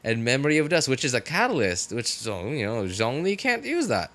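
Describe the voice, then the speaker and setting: A man hosting a game livestream, rambling casually and excitably, exclaiming and musing aloud. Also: mid-range voice, brisk. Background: game music.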